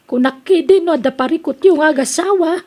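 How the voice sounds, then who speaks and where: A man's voice: very high voice, a little fast, darkish tone, pitched above the performer's own voice. A man voicing a nosy woman neighbor. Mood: curious. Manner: prying, questioning. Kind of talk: audio drama acting.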